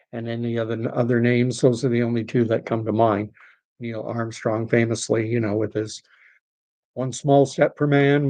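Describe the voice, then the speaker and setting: Tired, hoarse-voiced man streaming. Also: mid-range voice, darkish tone. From Canada. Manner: rambling, opinionated, reads out and answers chat messages. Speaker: livestream host reading viewer chat. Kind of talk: late-night solo livestream commentary. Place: home streaming setup.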